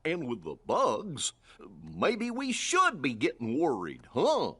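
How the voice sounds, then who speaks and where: A man voicing a gangly, good-natured cartoon dog in a video game, with a husky, hollow drawl and a bumbling, kindly manner. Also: fairly high pitch, huge pitch swings, slow pace, pushed and bright, full character voice. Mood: worried. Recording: faint game music behind.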